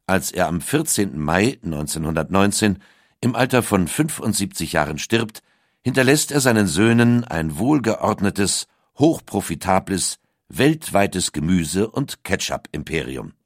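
Male narrator, low pitch, very animated intonation, scripted documentary read, dry close sound. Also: a little slow, no echo. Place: dry recording studio.

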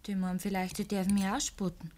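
A woman's voice: medium pitch, flat delivery, fast, darkish tone, close to an everyday voice. Woman acting young farmer's daughter, Bavarian dialect, jealous, coquettish, teasing, insistent, rural radio drama. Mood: jealous, accusing.

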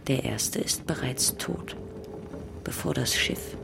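A woman as the solemn narrator of a radio play, speaking in a measured, incantatory, poetic way. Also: a bass voice, gravelly, noisy background, full character voice. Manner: measured, incantatory, repeating phrases. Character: solemn female narrator of a plague ship's voyage. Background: prominent music.

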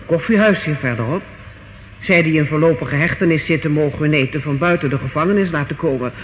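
Actor as an anxious, higher-voiced male prison warder. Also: a little fast, close to an everyday voice. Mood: alarmed, anxious. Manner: respectful, alarmed reporting. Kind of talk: old radio drama acting. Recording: tinny, hissy old radio recording.

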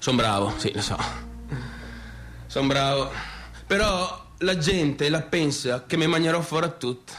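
A man's voice: on the high side, lively intonation, unhurried, pauses often, fairly bright tone, soft background music. Brash northeastern Italian man in radio monologue. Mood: defensive, agitated. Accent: Venetian, northeastern Italian. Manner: colloquial, repetitive, swinging between clowning and defensiveness. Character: brash, unsettled only son of a window-making family. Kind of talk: theatrical radio-drama monologue addressed to the audience.

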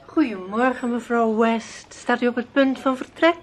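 A woman's voice: on the high side, moderate pace, natural voice. An actress playing a courteous, chatty woman in a radio play, with a polite, theatrical way of talking. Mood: cheerful, polite. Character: Mrs Penelope, courteous, chatty female acquaintance. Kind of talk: Dutch radio play acting.

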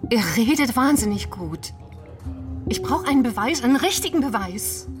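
An actress playing an anguished, earnest betrayed wife in a radio crime drama. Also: female voice, a little high, animated, normal speed, over music, close to an everyday voice. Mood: hurt, insistent. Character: anguished betrayed wife seeking proof, emotional and pleading.

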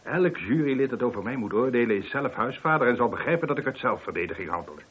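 A man's voice: medium pitch, lively intonation, quick pace, husky. A man playing a haughty, irritable, self-assured husband in a Dutch radio play. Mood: smug. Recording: dull, muffled old radio recording.

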